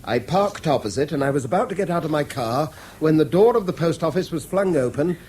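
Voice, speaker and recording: An actor as an earnest, higher-voiced English schoolmaster. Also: male voice, animated, fairly quick, a little mellow, close to an everyday voice. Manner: earnest, precise, defensive. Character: conscientious, stubborn English schoolmaster under pressure as witness. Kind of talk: 1970s British radio drama acting. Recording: faintly noisy old radio broadcast.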